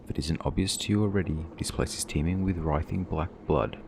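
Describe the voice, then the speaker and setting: A man's voice: deep voice, brisk, gravelly, noisy background. A man narrating a gaming video with a scripted, dramatic reading of quest text. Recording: voice-over with game music behind.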